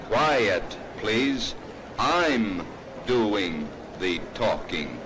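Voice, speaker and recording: A man playing a boastful, cheeky giant robot showman, speaking in halting, deliberate phrases on a noisy 1930s film soundtrack. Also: lowish voice, sing-song, full character voice. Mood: cheeky, bossy. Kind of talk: 1930s stage demonstration patter.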